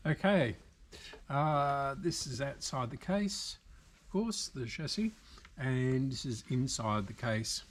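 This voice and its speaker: An Australian man talks through a repair job in a casual, unscripted way. His pace is slow and halting, as if working things out while he speaks. The pitch sits in an ordinary middle range for a man. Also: animated. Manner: unscripted, halting, thinking aloud. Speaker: video host, radio repairer. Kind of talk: casual hands-on repair commentary. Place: indoor workshop.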